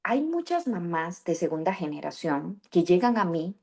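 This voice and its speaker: A woman's voice: on the low side, very animated, moderate pace, darkish tone. A woman hosting a podcast interview on raising bilingual children, speaking reflectively with many fillers and restarts. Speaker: podcast host and bilingual parenting coach. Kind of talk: conversational interview.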